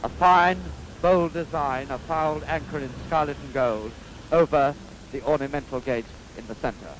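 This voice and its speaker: A man with a Received Pronunciation British accent giving formal, stately 1950s newsreel commentary in measured phrases, on a vintage soundtrack. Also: on the high side, slight background noise, rough recording.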